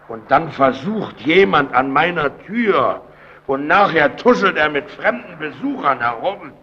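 An actor playing a domineering, suspicious and irritable lighthouse keeper in an old German radio drama, speaking in a stern, interrogating way. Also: male voice, medium pitch. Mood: menacing. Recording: dull, noisy old radio recording.

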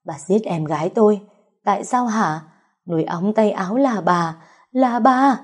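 A woman's voice: medium pitch, unhurried, breathy edge, voice pushed up. A woman narrator voicing a domineering older mother-in-law in an audio story, furiously hurling repeated accusations. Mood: bitter fury. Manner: hissing, shouted repeated accusations.

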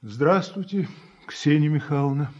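A proud older state-farm director in a Soviet radio drama. His voice sits fairly high and moves widely in pitch, with slow, wry, authoritative phrasing. The old recording sounds dull. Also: animated, a little mellow. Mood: brisk, commanding.

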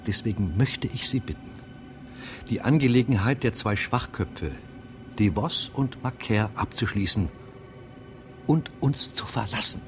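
An actor playing an angry, bullying middle-aged mayor in a German radio drama, scornful and commanding. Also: male voice, on the low side, unhurried, over music. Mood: bitter, grim.

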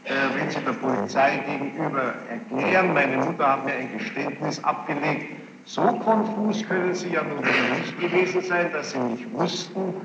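A man formally questioning a witness as a judge, probing and insistent, with halting phrasing and a gravelly voice. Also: a little high. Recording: noisy, muffled archival tape, echoey hall.

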